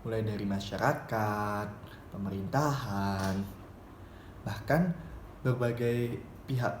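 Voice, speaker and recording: A young man gives a semi-formal student presentation, explaining in a halting way and often repeating or correcting his phrases. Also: lowish voice. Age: young adult. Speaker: university student presenting a paper. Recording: roomy echo, faint background noise.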